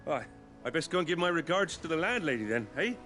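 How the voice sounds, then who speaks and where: An actor playing a humble, chatty working-class carpenter with a British accent in a fantasy video game, folksy and a little flustered. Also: male voice, on the high side, big pitch swings, fast, pushed and bright, soft background music. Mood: sheepish, obliging.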